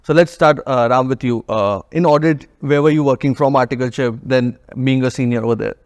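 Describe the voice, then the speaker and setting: A man's voice: medium pitch, a little fast, a little mellow, dry recording, studio quality. A man with an Indian accent hosting a casual panel interview podcast. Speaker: podcast host.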